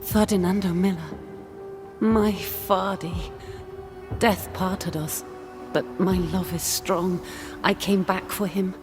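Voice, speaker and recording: A woman's voice: lowish voice, steady tone, takes it slowly, long pauses, over music. An actress playing the wistful, lovelorn ghost of a woman in a dramatic video game. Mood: longing, sorrowful.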